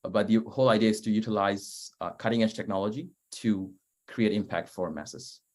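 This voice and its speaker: A man's voice: fairly low pitch, normal speed, a little mellow. A young man, a startup founder, answering on a webinar panel in an earnest way with many hesitations. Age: young adult. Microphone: video call.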